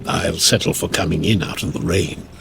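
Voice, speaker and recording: A man's voice: deep voice, fairly even intonation, normal speed, gravelly, background noise. An actor playing a sly, wheedling travelling salesman in an audio drama, glib and coaxing. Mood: pleading.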